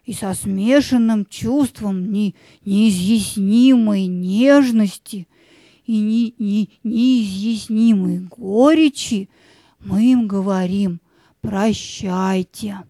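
Casting: actress as pompous bread spirit, audio play; very unhurried, mellow, solemn and loyal. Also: female voice, medium pitch, no echo. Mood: solemn, ceremonious. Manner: pompous, ceremonious.

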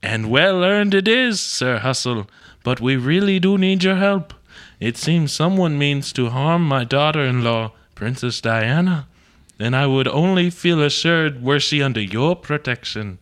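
A man playing a gracious, formal older Queen of Britain in a comedy radio play, speaking in a stately, measured way. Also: fairly high pitch, expressive, fairly bright tone. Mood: gracious, imploring.

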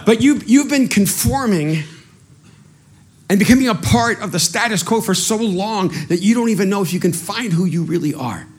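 Man, middle-aged, from El Salvador, Spanish first language, pastor, sermon, lively pitch, slightly high voice, self-deprecating. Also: normal speed. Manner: animated, self-deprecating, stammers on restarts.

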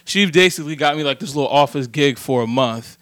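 An American man talks in a casual, anecdotal style with a somewhat high-pitched voice. He is emphatic, with plenty of slang and swearing. The sound is dry, with very little room to it. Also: fast talker, a bit bright, dry recording. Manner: heavy slang and profanity, emphatic.

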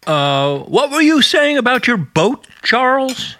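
A man's voice: very high-pitched, sing-song, takes it slowly, sharp tone, exaggerated voice. An actor playing a folksy, hearty small-town father in a radio play. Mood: curious.

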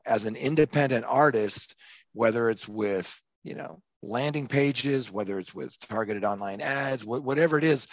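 A man's voice: medium pitch, no echo. A man co-hosting a podcast, setting up a long conversational question for the guest. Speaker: podcast co-host. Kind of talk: podcast interview.

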